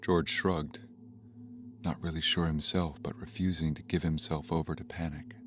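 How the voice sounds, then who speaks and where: A man's voice: a bass voice, flat delivery, normal speed, fairly bright tone, slight background noise. A man narrating a tense audio drama thriller as a sober storyteller, reading in a scripted style.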